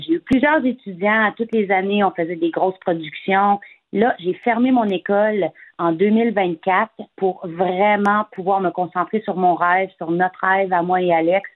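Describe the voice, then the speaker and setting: Franco-Ontarian woman on a phone line. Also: mid-range voice. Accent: Franco-Ontarian French. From Eastern Ontario, Canada. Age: 40s. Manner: chatty, frequent hesitations. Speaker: singer, interview guest. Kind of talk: casual interview chat.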